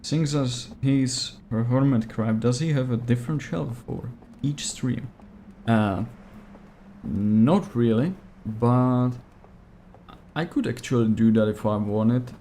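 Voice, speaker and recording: A man with a Czech accent whose native language is Czech, streaming a game live and chatting with viewers, often pausing to search for English words. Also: medium pitch, dark tone, a little hiss or hum. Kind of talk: casual live gameplay stream, answering chat.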